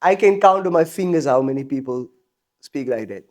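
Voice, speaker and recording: Man, South African accent, animated, repeats himself, casual podcast chat. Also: medium pitch, normal speed. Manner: animated, emphatic, repeats phrases, laughs often. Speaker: guest musician.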